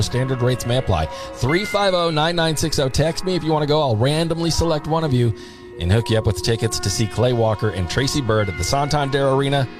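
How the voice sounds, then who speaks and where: An American man presenting on country music radio in a chatty, casual, promotional style into a studio microphone. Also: medium pitch. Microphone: studio broadcast microphone. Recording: radio aircheck with music behind.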